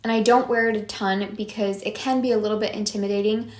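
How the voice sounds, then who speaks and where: Brief: young female beauty vlogger, slightly hoarse, chatty and gushing, mid-pitched, recorded in a roomy space. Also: steady tone, fast, a little mellow. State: slightly hoarse from a long day of filming. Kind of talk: casual product review. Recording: echoey room.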